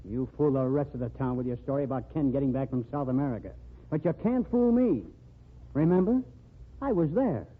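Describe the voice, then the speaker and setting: A man's voice: medium pitch, animated, fairly quick, slightly rough. An actor playing a stern, jealous man who warns a woman and threatens to expose a secret, in an old radio drama. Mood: threatening. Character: jealous, warning male friend. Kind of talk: 1940s radio drama acting. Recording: tinny lo-fi old radio broadcast.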